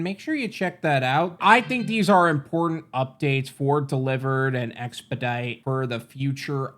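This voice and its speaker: A young man with a New York accent hosting a reaction video, musing aloud with candid rhetorical questions. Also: mid-range voice. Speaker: gig-economy channel host. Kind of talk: reaction commentary.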